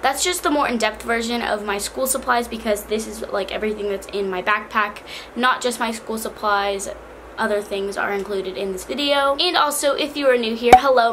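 Teen girl vlogger, slightly hoarse, higher voice, chatty; bedroom with faint fan hum. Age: teens. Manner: chatty, bubbly, lots of "like". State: slightly hoarse from cheer camp. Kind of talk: casual backpack vlog. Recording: faint fan noise behind.

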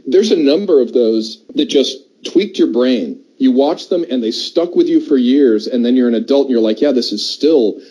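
A man's voice: medium pitch, animated, normal speed, few pauses, dark tone, breathy edge, poor audio. A middle-aged man with an American accent, chatting casually and explaining things at length as a podcast co-host. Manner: discursive, explanatory. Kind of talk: casual chat.

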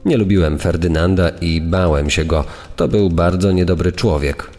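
A grave, low male voice from an old case file, read out by a man in a true-crime podcast. It rings with long reverb over faint music. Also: moderate pace, a little mellow, close to an everyday voice. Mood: grave, bitter. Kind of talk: dramatised reading of archive quotes and press reports.

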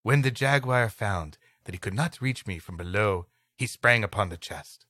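A man's voice: fairly low pitch, expressive, normal speed, sharp tone, no echo. A man co-hosting a comedy podcast, reading a story aloud and playfully breaking off to riff. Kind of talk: story reading broken up by comic banter.

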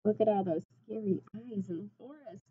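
A woman in her twenties reading a picture book aloud like a teacher, expressively, pausing to put simple questions and explanations to young children. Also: mid-range voice, breathy edge, phone-quality sound. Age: 20s. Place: small classroom, indoors.

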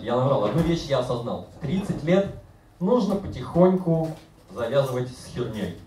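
A man in his thirties doing a self-mocking, deadpan stand-up routine on a bar stage. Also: medium pitch, distant mic, a little hiss or hum. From Siberia. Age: 30s. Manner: deadpan, self-mocking, acts out other voices. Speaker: stand-up comedian. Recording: roomy live bar recording from afar.

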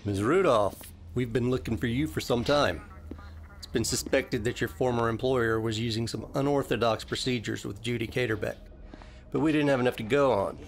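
An actor playing a steady, fatherly police captain in an audio drama, businesslike and plain-spoken with a gravelly voice. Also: medium pitch, moderate pace, a little hiss or hum, close to an everyday voice. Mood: brisk, official.

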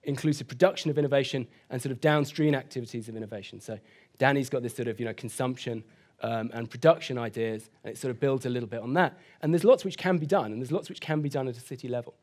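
A man in his thirties answering questions after a keynote at a conference, hedging often and speaking quickly. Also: medium pitch, a little mellow. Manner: hedging, sort of, you know. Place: conference hall stage.

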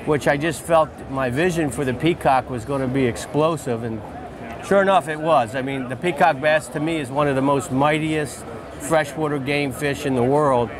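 A man's voice: on the high side, normal speed. A man in his forties, a fishing lodge outfitter, telling his story in an enthusiastic, repetitive way during an interview at a trade-show booth, on a lapel mic. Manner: enthusiastic, storytelling, repeats phrases. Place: busy convention hall booth, indoors. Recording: crowd chatter behind.

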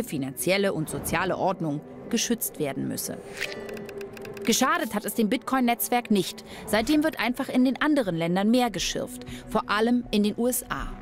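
Female documentary narrator over music. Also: medium pitch.

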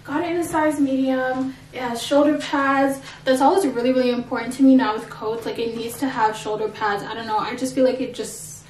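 A woman's voice: sits high, steady tone, a little fast, few pauses, a little mellow. A young woman vlogger chatting casually to her viewers in a gushing way, saying "like" often. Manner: gushing, filler-heavy "like" speech. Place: indoors at home, echoey room. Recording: echoey room, far from mic, noisy.

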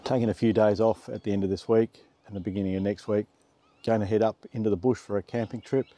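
A middle-aged Australian man vlogging from the cab of a parked truck. His voice is low and relaxed, and he explains things plainly, pausing now and then as he finds his words. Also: no room sound. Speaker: off-road camping vlogger. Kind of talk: casual explanatory vlog.

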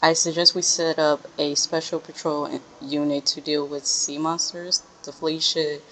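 A young woman streamer reading game text aloud haltingly, correcting herself with casual asides. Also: deep voice, level tone, fairly bright tone, a little hiss or hum, rough recording. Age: young adult. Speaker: gaming reaction streamer.

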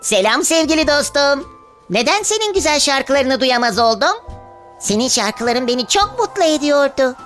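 A woman voicing a kind, wise ant in a children's animated fairy tale, speaking in an earnest, reassuring way. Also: sits high, moderate pace, soft background music. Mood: friendly concern. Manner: earnest, reassuring, gently instructive. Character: kind, caring, wise ant giving friendly advice.

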